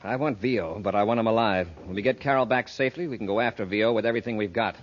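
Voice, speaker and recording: An actor playing a decisive, authoritative space patrol commander, giving brisk, firm orders in 1950s radio serial style. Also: male voice, on the low side, close to an everyday voice. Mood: firm, urgent. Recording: dull, noisy old radio recording.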